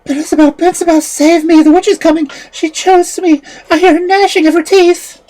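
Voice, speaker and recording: A woman's voice: extremely high pitch, a little slow, pitched above the performer's own voice. A woman voicing a meek, frightened young girl from a fairy tale, trembling and pleading. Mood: desperate, terrified.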